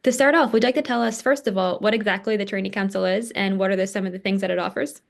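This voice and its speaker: Fast-talking woman host. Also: mid-range voice, steady tone, creaky. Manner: polished, upbeat introductions. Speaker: podcast host. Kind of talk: scripted interview host.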